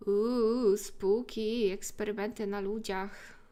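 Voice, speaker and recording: A woman's voice: medium pitch, steady tone, slow, mellow. A Polish-accented woman streamer giving casual, chatty commentary over a game. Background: game music.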